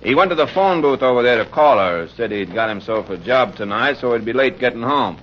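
An actor playing a gossipy working-class bartender with folksy, ungrammatical talk in a 1940s radio drama. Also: male voice, mid-range voice, expressive, fairly quick, natural voice. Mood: confiding. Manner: folksy, ungrammatical, hesitant asides. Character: gossipy, plain-spoken working-class male pool-room bartender. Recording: muffled, noisy old radio recording.

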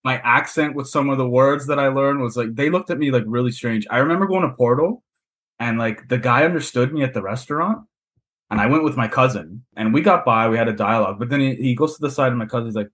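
A man's voice: medium pitch, fast. A man with a Canadian accent, a podcast guest chatting casually and acting out the people in his stories. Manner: relaxed storytelling, acts out quoted voices.

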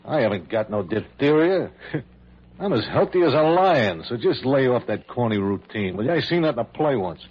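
A man's voice: mid-range voice, very animated, normal speed. An American actor playing a cocky, uneducated gunman in old radio drama, with slangy, sneering tough-guy talk. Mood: scornful defiance. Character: cocky uneducated gunman, a defiant adult male crook. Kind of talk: 1940s-50s American radio drama acting. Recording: husky-sounding, dull old radio recording.

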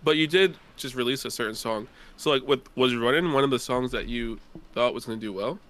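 A man's voice: medium pitch, a little fast, fairly bright tone. A young man hosting a casual podcast, asking long, meandering questions with restarts. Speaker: podcast host.

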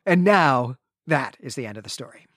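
A man's voice: medium pitch, lively intonation, moderate pace, a bit bright, no echo, clean studio sound. A man co-hosting a podcast, recapping a story conversationally and often checking in with a tag question. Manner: conversational, frequent "right?" tags. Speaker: podcast co-host. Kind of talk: casual book discussion and plot recap.

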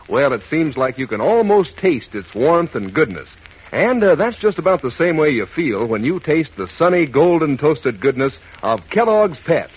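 Lively, folksy vintage radio pitchman. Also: breathy edge. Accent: American. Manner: folksy, enthusiastic. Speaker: commercial announcer. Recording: muffled old radio broadcast.

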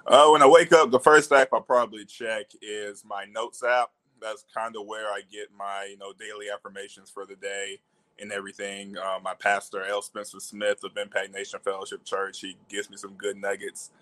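Young male interview guest from Alabama, fairly low voice, informal and chatty. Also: little pitch movement, normal speed, fairly bright tone. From Alabama, United States. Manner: informal, peppers talk with "you know". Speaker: interview guest, media professional. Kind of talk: casual chat.

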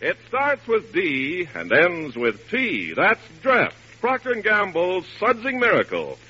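High-voiced animated 1940s radio announcer, a man. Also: slow pace, husky. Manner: declamatory, polished salesmanship. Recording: dull vintage radio broadcast, music behind.

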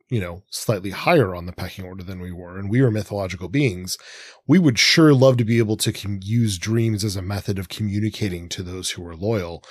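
Guy with a fairly deep voice thinking out loud on a book podcast, really dry sound. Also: slightly rough, no echo. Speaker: podcast co-host. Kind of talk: literary discussion of comics and mythology.

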